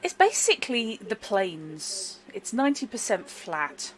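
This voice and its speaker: A woman narrating as game master in a chatty, explanatory way, with fillers and quick laughs. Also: medium pitch, sing-song, slight background noise. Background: background music.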